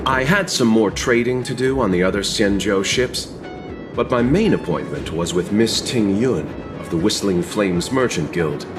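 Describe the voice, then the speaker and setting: A man playing a courteous, composed young travelling merchant in a video game, speaking in a polite, measured way. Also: on the low side, expressive, music in the background, natural voice. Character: courteous, soft-spoken, unhurried young male travelling merchant. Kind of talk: video game cutscene dialogue.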